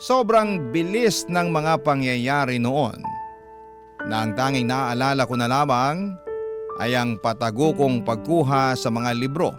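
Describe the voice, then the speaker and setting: Man, medium pitch, scripted radio storytelling, clear music bed. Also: unhurried. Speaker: storyteller reading a listener's letter. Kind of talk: scripted dramatic radio-style storytelling narration.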